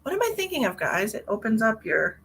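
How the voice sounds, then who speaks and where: Chatty bright-voiced woman livestreaming. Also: on the high side, wildly swooping pitch, brisk, gravelly, a little hiss or hum. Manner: chatty, rambling, answers viewer comments aloud. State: stuffy nose. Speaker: tarot reader hosting a livestream. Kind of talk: casual livestream chat with viewers. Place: in a car.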